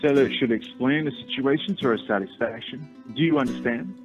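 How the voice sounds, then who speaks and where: A man playing an earnest, formal gentleman suitor in a radio play, wordy and slightly condescending. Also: mid-range voice, fairly quick, close to an everyday voice. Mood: brisk. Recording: narrow phone-like sound, faint guitar music.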